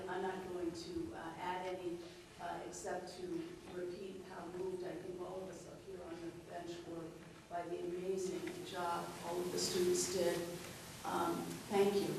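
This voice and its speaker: Female judge, lowish flat voice, halting formal remarks, heard from far off in a reverberant room. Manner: halting, deliberate phrasing. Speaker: chief judge. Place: large echoey indoor courtroom. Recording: very echoey room, distant mic, noisy.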